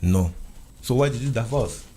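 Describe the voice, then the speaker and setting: A man's voice: medium pitch, expressive, moderate pace, dark tone, slight background noise. A man whose first language is French, telling his story in English in an earnest way, often repeating and restarting phrases. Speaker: guest. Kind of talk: recounting a personal ordeal in conversation.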